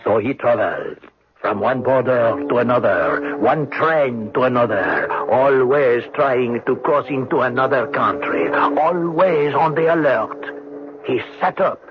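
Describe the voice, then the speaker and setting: An actor playing a storyteller who narrates a wartime tale in a measured, dramatic radio style. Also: male voice, medium pitch, animated, natural voice. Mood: measured, suspenseful. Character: measured male storyteller recounting a wartime escape. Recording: muffled old radio recording.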